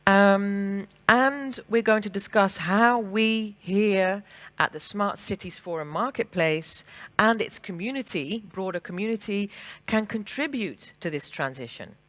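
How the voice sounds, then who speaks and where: Brisk English-accented woman moderating a panel. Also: lowish voice, fairly bright tone. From England and the Netherlands. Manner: frank and brisk, many fillers and restarts. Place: conference stage.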